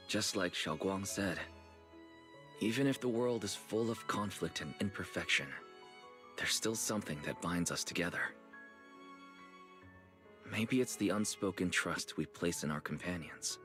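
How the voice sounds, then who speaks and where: A man voicing a calm, thoughtful storyteller, giving reflective, measured video game narration. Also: low voice. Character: calm, thoughtful male storyteller closing a heroic tale.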